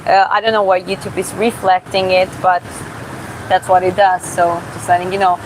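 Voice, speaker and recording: A woman from Georgia who streams and boxes, reading viewer comments aloud in a live Q&A and answering casually, often pausing and restarting to find her words. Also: on the low side, low-quality recording. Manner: casual, halting, searches for words with many restarts. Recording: steady background hum.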